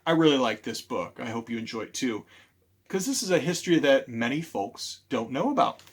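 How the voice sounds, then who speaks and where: A man hosting a library storytime for children, animated and speaking directly to his listeners as he presents and tells stories. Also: mid-range voice, a little fast, husky. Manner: animated, repeats key phrases, addresses listeners directly.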